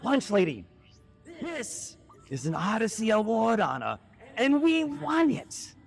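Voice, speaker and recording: A woman playing an earnest lunch lady sidekick in a live comic skit, with a creaky, emphatic delivery. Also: fairly high pitch, sing-song, slow, over music, full character voice.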